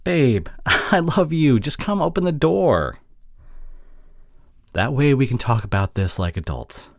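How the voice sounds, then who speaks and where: A man playing an apologetic, insecure boyfriend in an intimate audio drama, speaking haltingly with sighs and breathy murmurs. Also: medium pitch, big pitch swings, no room sound, studio quality. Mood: pleading. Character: apologetic, pleading long-distance boyfriend, insecure young man. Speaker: audio roleplay voice actor.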